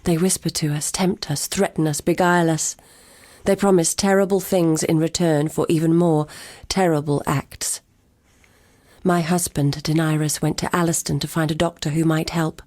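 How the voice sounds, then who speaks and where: An actress playing a haunted, fearful village wife and mother, giving grave, deliberate journal narration for a video game. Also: deep voice, steady tone, a little mellow, dry recording, studio quality. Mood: weary, fearful. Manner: slow, grave, deliberate storytelling.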